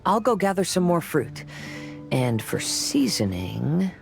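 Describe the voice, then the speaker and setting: A gentle young samurai wanderer in a video game. He is soft-spoken and thoughtful, yet his intonation moves freely, with music clearly behind him. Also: fairly high pitch, very animated, slow, full character voice. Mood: easygoing.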